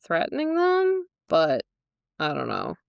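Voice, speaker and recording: A woman's voice: sits low, wildly swooping pitch, slow, vocal fry, smooth, no echo. A woman co-hosting a podcast, explaining book details in casual, tentative conversation. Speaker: podcast co-host. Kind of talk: casual book discussion chat.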